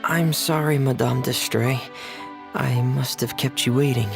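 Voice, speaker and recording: A reserved young diver in a video game. The voice is soft-spoken and polite, with noticeable fry and a hesitant delivery. Music is clearly audible behind it. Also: male voice, medium pitch, fairly even intonation, unhurried. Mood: apologetic, dutiful.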